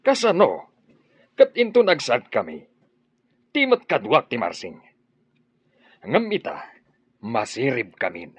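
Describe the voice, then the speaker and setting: A man's voice: mid-range voice, huge pitch swings, slow pace, pauses often, breathy edge, dry recording, heavily acted. A man acting a self-assured henchman in an Ilocano radio drama, confident and defensive, with an Ilocano accent. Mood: defensive, boastful.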